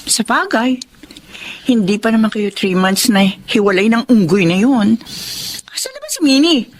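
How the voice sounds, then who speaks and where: An actress playing a blunt, teasing thirty-year-old best friend in a Filipino radio drama, brash and exclamatory. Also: female voice, fairly high pitch, sing-song, moderate pace. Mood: exasperated.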